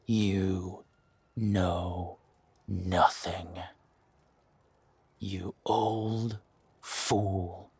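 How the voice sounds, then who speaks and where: A man playing a ruthless, mocking warrior villain in a fantasy audio drama, with a husky voice and a deliberate, taunting delivery. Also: low-pitched, flat delivery, darkish tone, no room sound, exaggerated voice. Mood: contemptuous.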